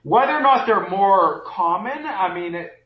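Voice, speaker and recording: A man's voice: high-pitched, animated, a little slow, crowd noise. Man in a roomy lo-fi recording. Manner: thinking aloud, many restarts. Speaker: podcast co-host. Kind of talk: casual discussion. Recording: roomy, dull lo-fi sound.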